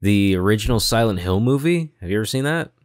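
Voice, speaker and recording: A man's voice: lowish voice, lively intonation, quick pace, no echo, studio quality. A man co-hosting a podcast, giving his opinions in a casual, easygoing and informal way. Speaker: podcast co-host.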